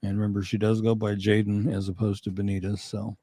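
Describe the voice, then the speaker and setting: Easygoing man in his fifties from Kansas, co-host; low, even, mellow delivery, dry mic. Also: no echo. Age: 50s. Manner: easygoing, laughs often, reads details slowly. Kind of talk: casual chat and missing-person appeal.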